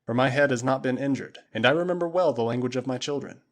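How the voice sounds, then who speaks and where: A man voicing a calm noble jungle lord. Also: mid-range voice, natural voice. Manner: calm, measured, commanding.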